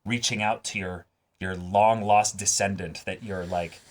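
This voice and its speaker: A man's voice: on the low side, steady tone, a little slow. A man co-hosting a reaction show, chatting casually and animatedly in a rambling way, often acting out imagined lines. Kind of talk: casual reaction chat about an anime episode.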